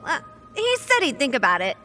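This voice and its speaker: A woman's voice: high-pitched, wildly swooping pitch, fairly quick, sharp tone, soft background music, full character voice. A woman playing an eager, chatty young girl in a horror audio drama, speaking in a slightly hesitant, childlike way.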